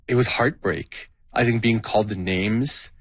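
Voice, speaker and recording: Earnest low-voiced man from Canada. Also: a little slow, husky, no echo. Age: 30s. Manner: earnest, open, searching for words. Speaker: guest performer. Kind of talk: reflective interview answers. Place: radio studio. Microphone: studio mic.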